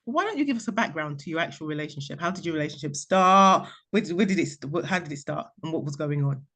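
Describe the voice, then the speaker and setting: A woman's voice: low-pitched, expressive, fast, fairly bright tone. A woman hosting a podcast, putting conversational interview questions to a guest.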